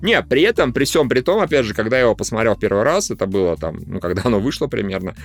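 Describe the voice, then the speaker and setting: Lively, opinionated man bantering on a podcast. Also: lowish voice, fast talker. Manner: opinionated, swears casually, teasing. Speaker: podcast co-host. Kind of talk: casual podcast banter about animation. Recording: music behind the talk. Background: music.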